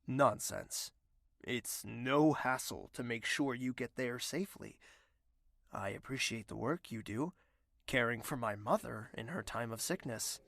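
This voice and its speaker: An actor playing a courtly young prince in an audio drama, formal and courteous but stubbornly persistent. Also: male voice, mid-range voice, a little slow, vocal fry, a bit bright, no echo, studio quality.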